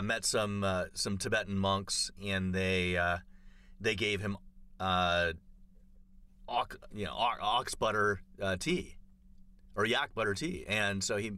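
A man's voice: fairly low pitch, moderate pace, sharp tone. A man chatting casually on a podcast, telling a long story full of fillers and restarts. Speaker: podcast co-host. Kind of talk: casual chat.

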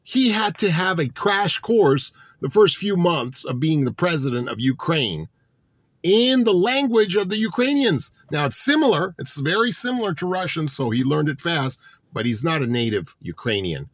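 A man's voice: fairly high pitch, big pitch swings, normal speed, dry recording. A man delivering a political monologue to his audience, sarcastic and full of rhetorical questions. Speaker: political commentator.

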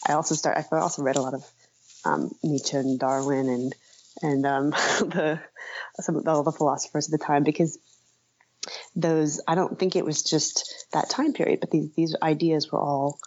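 A woman giving conversational interview answers as an author, thoughtful and halting, often rephrasing mid-sentence. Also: sits low, a little mellow, muffled recording, a little hiss or hum.

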